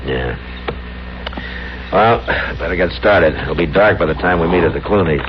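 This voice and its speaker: On a muffled, noisy 1960s radio recording, a steady Western marshal. His American voice is very deep, and he speaks tersely with calm authority. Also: moderate pace. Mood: calm, commanding. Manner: laconic, calm, commanding.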